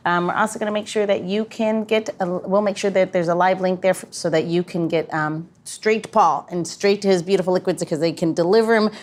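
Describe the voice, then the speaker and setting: A woman's voice: lowish voice, fairly even intonation, a little fast, hardly any pauses. Casual woman co-hosting a podcast. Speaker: podcast co-host. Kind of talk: casual banter.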